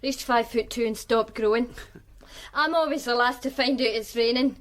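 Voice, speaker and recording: A woman's voice: fairly high pitch, moderate pace, close to an everyday voice. A woman playing a wry streetwise Glasgow woman. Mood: guarded. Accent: Glaswegian. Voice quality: dry, sardonic. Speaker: witness, flatmate of the victim. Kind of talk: radio drama acting.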